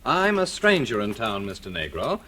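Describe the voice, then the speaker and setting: A polite young stranger in an old radio play, with a bright, forward tone and lively intonation. He is courteous and a touch hesitant, stumbling over words. Faint hiss of an old recording behind him. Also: lowish voice, moderate pace, exaggerated voice. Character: polite young stranger, new bank clerk. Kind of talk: 1940s radio acting.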